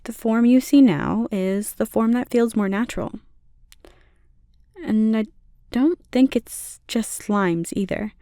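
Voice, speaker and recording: A gentle slime monster girl, played by a woman for an ASMR roleplay, speaking softly and intimately. Her voice is fairly high but dark and mellow. It is recorded very dry and close, with a caring, lightly teasing manner. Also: slow, clean tone, dry recording. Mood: gentle explaining. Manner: soft, soothing, intimate delivery.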